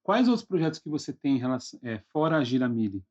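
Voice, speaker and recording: A man's voice: medium pitch, very animated, fast, a little mellow. A man with a Brazilian accent hosting a casual podcast interview, informal and often checking for agreement with tag questions. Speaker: podcast host. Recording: slightly dull, lo-fi sound.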